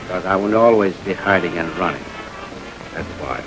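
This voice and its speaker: An actor playing a surly, hot-tempered gangster who talks in a blunt, sneering, resentful way, in 1930s crime film style. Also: male voice, deep voice, normal speed, loud music behind the voice, lightly acted, low-quality recording.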